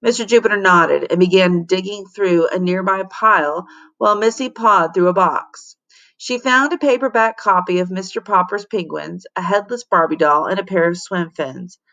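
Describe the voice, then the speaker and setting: A woman's voice: fairly low pitch, moderate pace, pushed and bright. Woman reading a children's storybook aloud. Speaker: teacher reading to students. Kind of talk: expressive storybook read-aloud.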